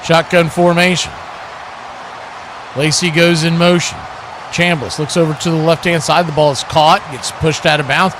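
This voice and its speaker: A man's voice: fairly high pitch, normal speed. A man giving live play-by-play football commentary as the announcer, in short clipped phrases. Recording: clear steady background noise.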